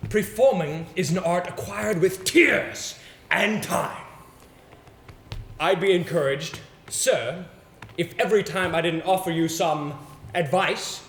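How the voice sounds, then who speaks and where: An actor playing a pompous, exasperated theatre coach in an amateur stage play, with a sarcastic, emphatic delivery. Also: male voice, sits high, slow pace, fairly bright tone, full character voice. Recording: roomy stage recording with faint noise.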